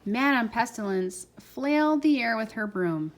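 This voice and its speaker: Higher-voiced woman reading a children's storybook. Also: lively intonation, unhurried. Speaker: parent reading aloud with a child.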